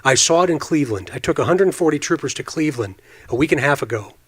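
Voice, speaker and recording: A man's voice: fairly high pitch, animated, quick pace, a bit bright, husky. A man in his fifties speaking as a police official at a forum, earnest and emphatic, repeating phrases for stress. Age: 50s. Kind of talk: impassioned forum speech.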